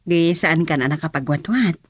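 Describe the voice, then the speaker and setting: A woman's voice: sits low, normal speed, slightly rough, no echo, clean studio sound. A woman with an Ilocano accent playing a nosy, teasing member of a household in a radio drama.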